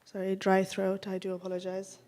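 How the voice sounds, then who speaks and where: A woman's voice: mid-range voice, monotone, brisk, mellow. A woman from Nepal, a singer chatting between songs at a cultural event, self-deprecating and apologetic, into a stage microphone. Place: event hall, indoors. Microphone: handheld stage microphone.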